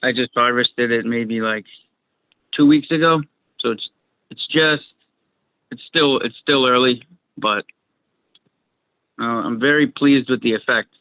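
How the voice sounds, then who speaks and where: A man's voice: mid-range voice, measured pace, long pauses, dry recording. A young man from Massachusetts with a Massachusetts accent, chatting casually as a guest over a video call, rambling and repeating his words. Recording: dry tinny video call audio.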